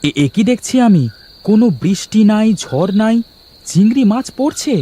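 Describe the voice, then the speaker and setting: Man acting as high-voiced, bewildered village father. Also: lively intonation, moderate pace, dark tone, breathy edge. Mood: amazed. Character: kind, bewildered poor village fisherman father. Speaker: cartoon voice actor. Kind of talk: Bengali moral fairy-tale cartoon acting.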